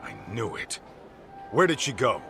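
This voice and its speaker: A man's voice: mid-range voice, wildly swooping pitch, measured pace, gravelly, over music, exaggerated voice. An actor playing a man who questions people politely and persistently in a game dub. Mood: earnest.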